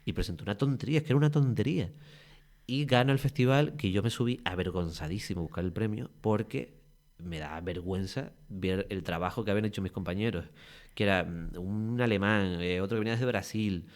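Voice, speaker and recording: A man's voice: lowish voice, brisk. A man from Tenerife with a Canary Islands Spanish accent, a guest actor telling long, rambling stories in a casual podcast interview. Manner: lively, rambling storytelling with many restarts.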